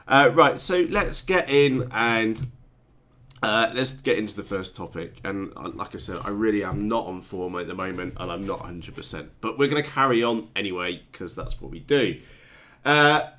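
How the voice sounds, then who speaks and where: A man's voice: on the low side, animated, moderate pace, pushed and bright. A man hosting a casual hobby chat show, sounding blocked up and congested from a cold, rambling with many ums and restarts. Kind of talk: casual live-stream chat.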